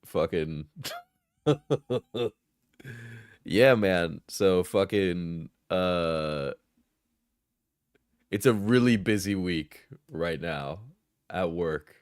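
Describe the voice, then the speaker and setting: A man's voice: low-pitched, expressive, very slow, pauses often, dry recording, studio quality. A sleepy man livestreaming, chatting casually and rambling, laughing and swearing often. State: sleepy, just out of bed.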